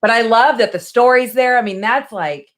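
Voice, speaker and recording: A woman's voice: on the high side, normal speed. A woman chatting casually and emphatically as a panelist in a webinar. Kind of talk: casual chat.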